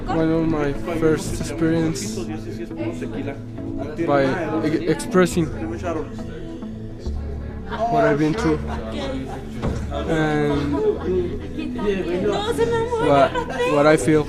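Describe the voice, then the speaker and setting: A young man in a school classroom talking haltingly about his experience, repeating words as he goes. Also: on the high side, little pitch movement, loud music behind the voice, over a noisy crowd. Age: young adult. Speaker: student participant. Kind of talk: halting personal testimony.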